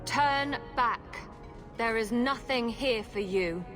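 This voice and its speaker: A woman's voice: a little high, slow, a bit bright, soft background music. A woman playing an imperious, bewitched young noblewoman in a video game, petulant and commanding. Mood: forbidding.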